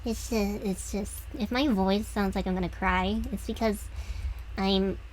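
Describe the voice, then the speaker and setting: A young woman streaming as she draws, talking softly and lower than usual, hesitant and often trailing off. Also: steady tone, unhurried, slight background noise, low-quality recording. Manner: soft, hesitant, trailing off with restarts. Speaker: streamer drawing commissions. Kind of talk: casual reflective chat while drawing.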